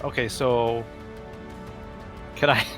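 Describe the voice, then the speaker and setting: A man's voice: mid-range voice, fairly even intonation, slow, music in the background. A man chatting casually as a player in a tabletop roleplaying game. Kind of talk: casual chat during a game.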